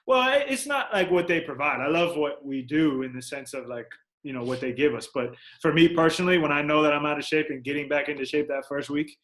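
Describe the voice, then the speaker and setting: A man's voice: fairly high pitch, a little fast, fairly bright tone. A young American man, a pro athlete, telling stories casually in an interview, rambling conversationally and voicing quoted remarks. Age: young adult. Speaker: professional soccer player, podcast guest.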